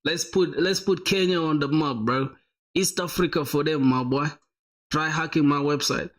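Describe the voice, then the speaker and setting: A man's voice: on the high side, moderate pace. Slangy young man hyping viewer sites. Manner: slangy, animated, calls viewers "bro" and "my boy". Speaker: streamer reviewing viewer websites. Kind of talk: casual live-stream chat.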